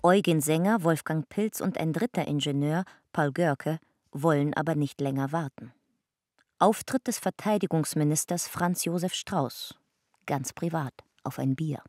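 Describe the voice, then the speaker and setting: A woman narrating a radio drama in a measured, clipped documentary style. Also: sits low, darkish tone, dry recording, clean studio sound. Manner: clipped, measured, reporting in fragments. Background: music.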